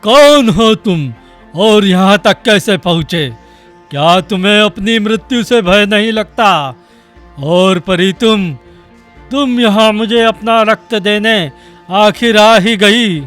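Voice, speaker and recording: A man voicing a wicked, menacing male demon in a cartoon story, delivering slow, booming threats. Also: a bit bright, soft background music. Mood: furious.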